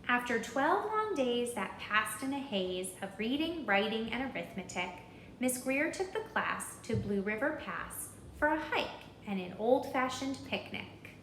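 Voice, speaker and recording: A woman reading a children's story aloud in an expressive, deliberate, rhythmic way, pausing to put questions to listeners. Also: on the high side, reverberant, slight background noise, rough recording. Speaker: storybook reader.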